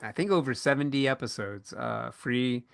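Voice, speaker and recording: A man hosting a podcast panel, speaking casually and pausing often mid-thought as he works through his points. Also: mid-range voice, vocal fry, smooth, dry recording, studio quality. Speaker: podcast host and panel moderator.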